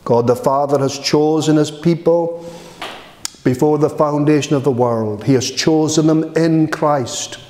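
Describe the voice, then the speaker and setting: A middle-aged male minister preaching a sermon from a lectern, measured and solemn, building emphasis through repeated phrases. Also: fairly high pitch, a little mellow, roomy sound. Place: church hall, indoors.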